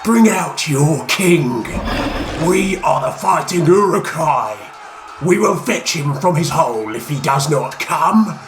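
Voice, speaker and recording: A man voicing a band of brutish male orc warriors who shout and mock. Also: on the high side, expressive, takes it slowly, roomy sound, music in the background. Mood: jeering. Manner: loud mocking shouts.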